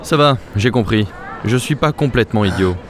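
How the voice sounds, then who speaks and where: An actor playing an observant, impulsive adventurer with a casual, streetwise way of talking in an audio drama. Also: male voice, on the low side, expressive, normal speed, crowd noise, natural voice. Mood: wry, confident. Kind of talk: French audio drama acting. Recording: clear background noise.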